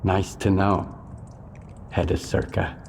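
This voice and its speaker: An actor playing a gruff, guarded wasteland scavenger, terse and dryly skeptical in a video game cutscene. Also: male voice, deep voice, steady tone, slow pace, a little mellow, full character voice. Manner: terse, dry. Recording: faint ambient noise behind voice.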